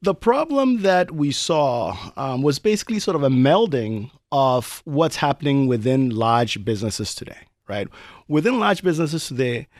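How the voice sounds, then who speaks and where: A man from Ghana, a tech chief executive explaining his company calmly in a studio. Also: mid-range voice, no echo. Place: broadcast studio.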